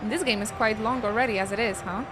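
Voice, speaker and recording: A woman's voice: fairly high pitch, steady tone, fast. A young woman from Poland with a Polish accent chats to her viewers while playing through a video game, musing aloud about the characters and story. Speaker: streamer. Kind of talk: live-stream gameplay commentary. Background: game music.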